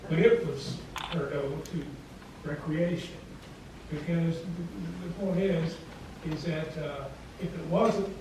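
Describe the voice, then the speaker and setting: A man's voice: fairly high pitch, fairly even intonation, slow, background noise. A man asking pointed, insistent questions at a public meeting. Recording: echoey hall picked up from far off.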